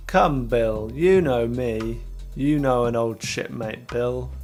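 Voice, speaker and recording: A man's voice: medium pitch, slow, darkish tone. Guy doing a sly old sailor, drops his h's, fawning but menacing, storybook villain, music behind. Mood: bold, taunting. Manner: wheedling, drops H's, rough sailor speech.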